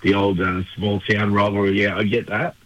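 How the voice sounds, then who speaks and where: A man with an Australian accent, a country band member chatting as a radio guest in a relaxed, rambling way with frequent restarts. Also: lowish voice, moderate pace, dry recording, rough recording. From Narrabri, New South Wales, Australia. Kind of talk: casual interview.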